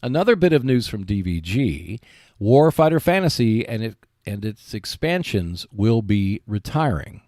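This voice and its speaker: A man hosting a solo podcast about board games, speaking in measured phrases with emphatic stresses. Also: lowish voice, big pitch swings, darkish tone, no room sound, clean studio sound. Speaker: podcast host.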